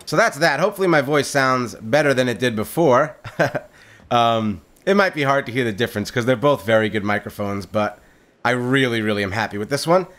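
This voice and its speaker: Chatty upbeat gamer guy, loud voice. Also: mid-range voice, expressive, a little fast. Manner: upbeat, chatty, frequent fillers and repeated words. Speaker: gaming channel host. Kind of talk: casual solo gameplay commentary. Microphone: condenser mic on a boom stand.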